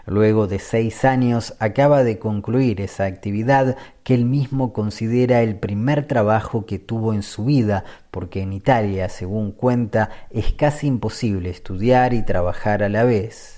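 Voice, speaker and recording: Low mellow male radio narrator. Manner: measured, read-aloud delivery. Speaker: radio podcast host and narrator.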